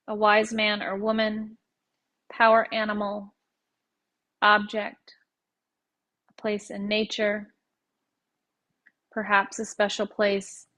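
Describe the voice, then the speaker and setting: Female meditation guide, calm and measured, very level mid-range pitch, unhurried phrasing with pauses.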